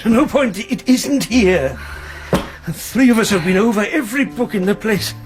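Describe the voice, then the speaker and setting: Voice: medium pitch, lively intonation, moderate pace, close to an everyday voice. An actor as a flustered, exasperated professor, music behind. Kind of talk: British radio drama acting.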